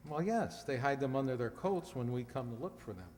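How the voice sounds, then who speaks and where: An earnest young male contestant played by a man in an old-time radio comedy. He is polite and literal-minded, with a medium-pitched voice carrying the long reverb of a hall. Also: expressive, fairly quick, darkish tone, lightly acted. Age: 20s.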